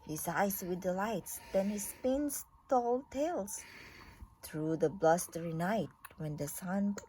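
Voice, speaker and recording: A woman's voice: medium pitch, slow, mellow, a little hiss or hum, rough recording. A woman reading a children's storybook aloud expressively, drawing out words and leaning on the sound words.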